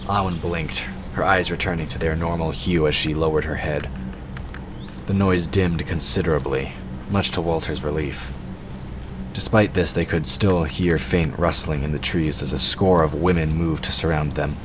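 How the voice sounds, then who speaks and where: A man with a very deep voice narrates a fantasy story in scripted audio drama style. There is clear background noise, with outdoor nature effects layered behind him. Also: fairly even intonation, moderate pace. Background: forest ambience sound effects.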